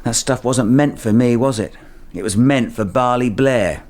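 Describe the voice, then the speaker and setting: An actor playing a cocky, evasive informant under questioning in a radio drama, offhand and flippant. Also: male voice, mid-range voice, normal speed, darkish tone, close to an everyday voice. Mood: defensive. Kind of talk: naturalistic radio drama acting.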